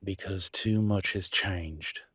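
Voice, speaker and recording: Man acting a low-voiced, defiant werewolf alpha. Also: bright, forceful tone, husky, no room sound, studio quality, heavily acted. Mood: weary, resistant. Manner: emphatic, colloquial, with dramatic pauses and swearing. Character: Damien, conflicted male werewolf pack alpha, defiant and remorseful. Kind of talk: scripted audio roleplay, one side of an argument.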